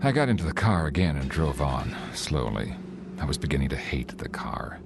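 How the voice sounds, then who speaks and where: An actor playing an anxious motorist who narrates his drive in an old-time radio drama, intense and halting as his nerves rise. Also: very deep voice, sing-song, gravelly, heavy background noise, heavily acted. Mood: brooding. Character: haunted, anxious male motorist recounting his cross-country drive. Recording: vintage radio recording with music underneath.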